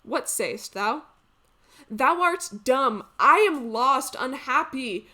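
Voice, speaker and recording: A woman playing a betrayed, wretched male exile in a Greek tragedy monologue, declaiming archaic lines in drawn-out anguish. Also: high-pitched, lively intonation, bright, forceful tone, voice pushed up, full character voice. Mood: desperate, lamenting. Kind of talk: theatrical classical tragedy monologue.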